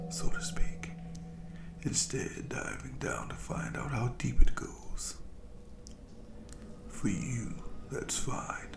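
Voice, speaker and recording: Someone voicing a cruel whispering monster, slow and taunting. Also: reverberant, distant mic, exaggerated voice. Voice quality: breathy whisper with low creaky edges. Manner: taunting, slow, savoring, theatrical. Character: cruel, playful, ageless monster tormenting its human toy. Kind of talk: whispered audio roleplay addressed to the listener.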